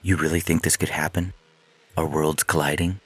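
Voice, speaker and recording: Very deep, husky man playing a flirtatious scientist. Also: flat delivery, normal speed, no room sound. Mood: wistful, teasing. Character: Damon, male scientist, flirtatious lovestruck romantic.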